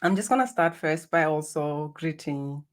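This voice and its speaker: A woman's voice: low voice, normal speed. A middle-aged woman from Botswana with a Botswana accent, a healer talking earnestly as a guest over a video call, often laughing. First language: Setswana. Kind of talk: reflective interview talk.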